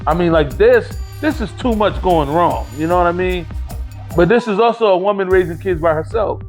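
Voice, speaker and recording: A man's voice: high-pitched, normal speed, soft background music. A man in his late forties hosting a podcast, telling a long, rambling, profane story in casual chat. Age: 40s. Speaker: podcast host.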